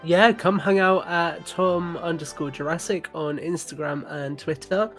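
A man speaks as a podcast guest in casual conversation. His voice sits fairly high, with faint music behind it. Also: steady tone, a little slow, a bit bright.

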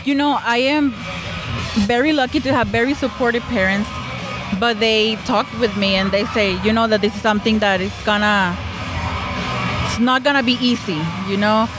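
A woman's voice: a little high, steady tone, normal speed, music in the background, poor audio. Young woman, hesitant and chatty. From Mexico. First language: Spanish. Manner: hesitant, many fillers. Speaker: film club member. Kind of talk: casual interview.

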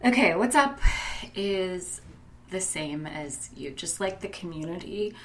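A woman's voice: fairly low pitch, expressive, measured pace, slight background noise. A woman in her thirties co-hosting a casual podcast chat, losing her voice and talking in a chatty way with lots of fillers. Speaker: podcast co-host. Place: rented apartment room.

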